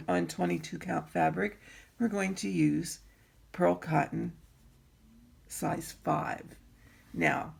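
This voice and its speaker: A woman with a raspy voice teaching needlework in an easygoing tutorial, pausing often with ums and clearing her throat. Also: sits low, a little mellow. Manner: slow, unhurried, frequent ums and throat clearing. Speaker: embroidery teacher. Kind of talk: instructional craft tutorial.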